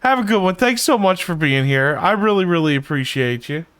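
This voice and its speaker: A man's voice: high voice, animated, fairly quick, fairly bright tone, a little hiss or hum. A man livestreaming a game, chatting casually and effusively with slang to his viewers. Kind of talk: casual chat, reacting to game.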